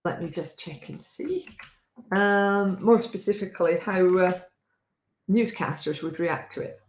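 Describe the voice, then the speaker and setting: Woman, host, casual talk, wry, chatty, slightly hoarse, lowish voice, echoey room. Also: a little slow, a little hiss or hum. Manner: chatty, wry, many fillers and restarts. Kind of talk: casual live-stream talk.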